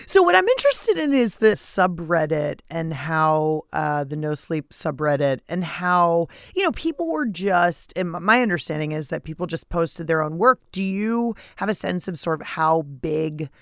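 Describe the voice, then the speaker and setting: Female interviewer, low register, informal and playful, very dry studio sound. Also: expressive, normal speed, gravelly, few pauses, dry recording. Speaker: radio show host, interviewer.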